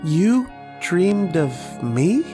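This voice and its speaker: An actor playing a lovestruck, haunted young man who tells of his dreams in a slow, wistful, literary style of old-time radio drama. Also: sits high, big pitch swings, mellow, faint music behind, full character voice. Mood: tender, awed. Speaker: actor and narrator in a horror radio play.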